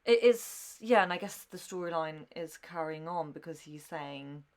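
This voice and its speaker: A woman's voice: sits low, expressive, moderate pace. A woman giving casual reactions in conversation, thinking aloud in a hesitant way. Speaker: reaction show host, newcomer to the series.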